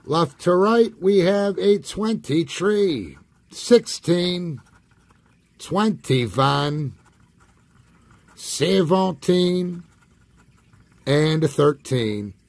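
A man's voice: high-pitched, lively intonation, vocal fry, darkish tone, dry recording. A man with a scratchy voice doing profane, wry commentary while scratching lottery tickets at a table indoors. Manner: profane, wry, reads numbers slowly with nicknames.

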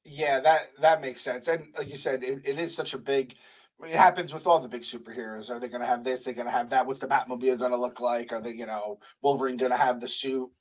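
A young adult man hosting a podcast, talking in animated, playful, swearing banter at a studio mic. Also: medium pitch, fast, rough recording. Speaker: podcast host. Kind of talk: casual chat. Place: studio room with poster wall. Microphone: large studio mic with headphones.

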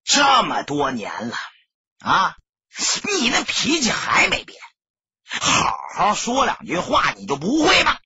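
A man's voice: high voice, huge pitch swings, takes it slowly, sharp tone, lo-fi sound, a little hiss or hum, exaggerated voice. A man voicing a veteran gang boss, menacing yet sincere and insistent, in an audiobook. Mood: earnest, reproachful. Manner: emphatic, insistent.

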